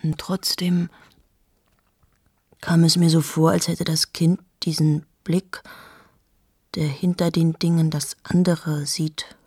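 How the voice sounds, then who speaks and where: A woman's voice: low voice, flat delivery, slow pace, long pauses, breathy edge, no echo, studio quality, heavily acted. An actress in her thirties playing a cold, self-absorbed journalist in a radio drama monologue, talking straight to the listener and often dropping into a whisper. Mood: hushed. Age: 30s. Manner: shifts into hushed whispers, addresses the listener directly. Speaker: monologue speaker.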